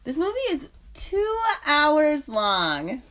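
A woman's voice: high voice, huge pitch swings, takes it slowly, fairly bright tone. A woman co-hosting a podcast, stuffy-nosed from a head cold, chatting and laughing casually. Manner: chatty, laughing, tipsy banter. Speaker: podcast co-host. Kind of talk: casual chat.

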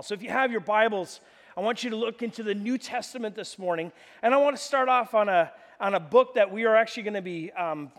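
Male pastor, high-pitched, loud and animated, preaching in a church hall with long reverb. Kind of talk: sermon.

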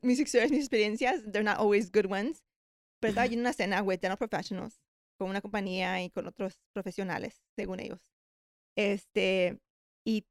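A woman's voice: mid-range voice, dry recording, clean studio sound. A woman hosting a podcast, reacting eagerly and telling stories while mixing Spanish and English. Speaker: host. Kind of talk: casual bilingual conversation.

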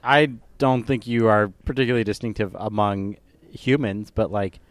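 A man's voice: lowish voice, normal speed, clean tone, dry recording. A man in a casual podcast chat, teasing and chuckling through his asides.

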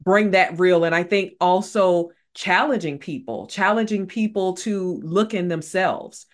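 A woman's voice: lowish voice, a little slow. A woman author speaking as a guest in a casual interview, earnest and repeating phrases for emphasis.

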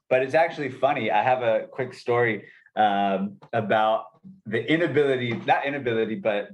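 A young man from Maryland, a podcast guest, chatting casually and rambling with lots of restarts. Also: mid-range voice. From Montgomery County, Maryland, USA. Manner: rambling, many restarts and fillers. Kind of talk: casual interview chat.

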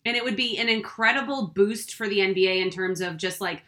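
A woman's voice: medium pitch, fairly bright tone. A woman from Boston co-hosting a podcast, talking enthusiastically and with strong opinions. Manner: enthusiastic, opinionated, fast talker. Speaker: podcast co-host. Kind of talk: casual podcast chat.

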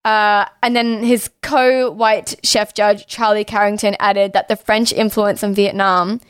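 A woman's voice: medium pitch, level tone, a little slow, clear, resonant tone, dry recording, studio quality. A young Australian woman talking casually and bluntly as a podcast co-host, saying "like" constantly and swearing freely. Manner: outspoken, heavy use of "like", swears freely.

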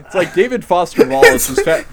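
A giggly man improvising comedy casually with friends, in a halting way. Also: high voice, very animated, over a noisy crowd. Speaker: comedy podcast host. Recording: other voices and laughter behind.

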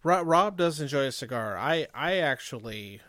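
A man's voice: a little high, animated, normal speed, fairly bright tone, no room sound, clean studio sound. A man in casual conversation who asks questions and often repeats his first words. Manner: inquisitive, stammers on first words. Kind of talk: casual chat.

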